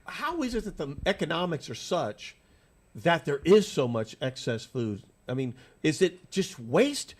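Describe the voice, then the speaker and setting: A man's voice: on the high side, sing-song, measured pace, slightly rough. Upbeat nasal male radio host. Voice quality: nasal from allergies. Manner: upbeat, rambling questions. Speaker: radio talk show host. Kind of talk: casual radio interview. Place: radio studio.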